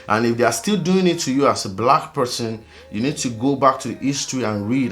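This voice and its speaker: Animated man from Africa, rambling vlog talk. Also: mid-range voice. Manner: rambling, animated, frequent fillers, laughs while talking. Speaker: vlogger host. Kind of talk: casual monologue vlog.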